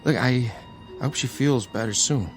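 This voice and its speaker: A man acting in a radio drama as a beaten-up, protective older brother, streetwise and wisecracking, talking in a wry, halting way. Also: mid-range voice, over music, natural voice. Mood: polite, halting.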